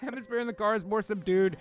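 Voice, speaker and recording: A man's voice: high-pitched, fairly even intonation, a little fast. A man with a casual, wry manner narrating a loose, joking comedic table read. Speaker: narrator and improv performer. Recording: voice over clear background music.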